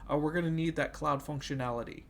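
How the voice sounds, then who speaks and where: Young male student, under the weather and nasal, a touch higher pitched, hesitant delivery full of fillers. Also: fairly even intonation, quick pace, vocal fry, slight background noise. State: sick, slightly nasal. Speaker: student presenting an assignment. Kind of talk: casual class presentation.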